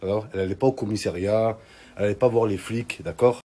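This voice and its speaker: A man's voice: fairly low pitch, fairly even intonation, fast, mellow. A man telling comic stories in casual street slang, in an exclamatory, mock-indignant way. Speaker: online comedian. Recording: clearly noisy background.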